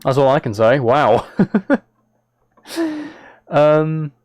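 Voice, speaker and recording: A man's voice: a little high, extremely slow, a little mellow, dry recording, lo-fi sound. A man live streaming, talking casually and a little hesitantly to his viewers as he reads chat and reacts.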